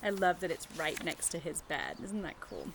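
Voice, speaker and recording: Animated woman teacher reading to young children. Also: deep voice, normal speed, vocal fry, background noise. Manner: engaging the children with questions and tag questions. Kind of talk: animated picture-book read-aloud with chatty asides.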